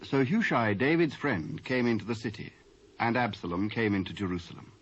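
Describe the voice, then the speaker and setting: A British man narrating scripture in a solemn, measured, scripted style. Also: lowish voice, a little hiss or hum. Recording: dull lo-fi cassette sound.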